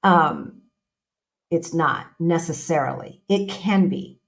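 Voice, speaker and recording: A woman with a low voice hosts a relaxed live-stream chat. She talks in a loose, chatty way, repeats herself for emphasis and drops casual slang as she goes. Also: slow, gravelly. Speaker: host.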